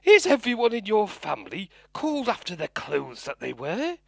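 Teasing he-wolf for comic puppet show, female performer, gravelly, close and dry. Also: medium pitch, huge pitch swings, moderate pace, no room sound, heavily acted. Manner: teasing, colloquial. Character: cheeky, teasing male wolf.

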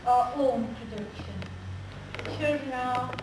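A woman with a North East English accent, a teacher introducing a school pantomime to an audience in measured, proud tones. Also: high-pitched. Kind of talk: formal spoken introduction. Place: school hall. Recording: echoey hall, distant camcorder, hiss.

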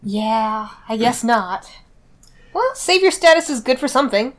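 A woman's voice: fairly high pitch, expressive, unhurried. A woman chatting casually as a player in a tabletop game, with a wry manner.

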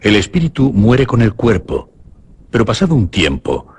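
A grave sage in a radio play, declaiming solemnly. The voice is deep and very raspy, with strongly varied intonation. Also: male voice, very animated, normal speed, darkish tone, exaggerated voice. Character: grave male sage reciting teachings about death. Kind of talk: Spanish radio drama recitation.

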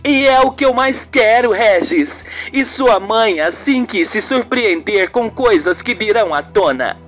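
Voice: mid-range voice, expressive, measured pace, fairly bright tone. A performer voicing a passionate, anguished woman in love in a radio soap opera, earnest and melodramatic. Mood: determined. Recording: music behind the voice.

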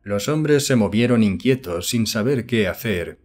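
A man's voice: on the low side, lively intonation, measured pace, darkish tone. A man narrating a horror tale as a scripted audiobook.

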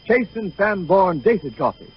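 Vintage radio commercial man: high, measured, emphatic sales read, dull old broadcast sound. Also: big pitch swings, slightly rough. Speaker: commercial announcer. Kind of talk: 1930s radio advertisement, scripted. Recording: muffled 1930s radio recording.

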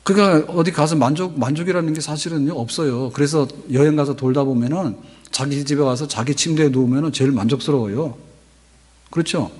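A man's voice: a little high, a little fast, darkish tone, slightly rough. A man in his fifties preaching a sermon from a church pulpit microphone, talking conversationally and asking the congregation rhetorical questions. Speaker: pastor. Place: large church sanctuary, at a pulpit. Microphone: pulpit microphone on stand. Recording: long hall reverb.

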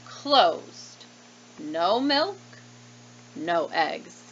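A woman voicing polite but firm storybook cows, reading their notes in a measured, deliberate way. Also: lowish voice, very animated, dull audio, a little hiss or hum, full character voice. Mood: curt, firm.

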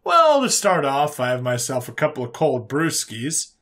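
A man voicing a cheerful, folksy human interrogator in a narrated sci-fi story, calm and teasing in colloquial phrases. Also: mid-range voice, normal speed. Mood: breezy, friendly.

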